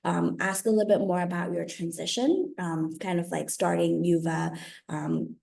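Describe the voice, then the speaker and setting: Low, gravelly-voiced woman moderating a panel. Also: steady tone, normal speed, darkish tone. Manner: hesitant and chatty, with many "um" and "like" fillers. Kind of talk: casual conversational questioning.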